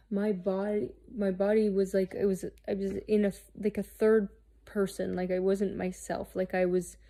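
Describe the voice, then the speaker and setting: A woman in her thirties, talking candidly and haltingly about herself, restarting often and swearing freely. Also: medium pitch, warm tone. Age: 30s. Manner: halting, searching, with many restarts and fillers. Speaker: host. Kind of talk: candid personal monologue.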